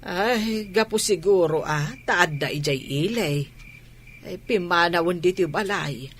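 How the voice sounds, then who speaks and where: A woman's voice: on the low side, slow pace, slight background noise. A woman playing a dramatic, fretting village mother who exclaims and scolds, in Ilocano radio comedy-drama style. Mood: reproachful.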